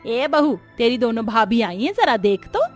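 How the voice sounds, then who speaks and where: A bossy middle-aged mother-in-law from a cartoon household, as a woman acts her out. She is grudging and curt, giving orders in a rather high female voice with music behind. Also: very animated, normal speed. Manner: curt, commanding.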